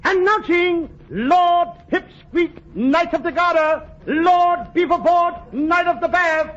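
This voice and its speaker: A man's voice: very high voice, takes it slowly, fairly bright tone, full character voice. A man playing a childlike, hapless wisecracker with mock-formal airs in a 1940s radio comedy. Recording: dull lo-fi old radio recording.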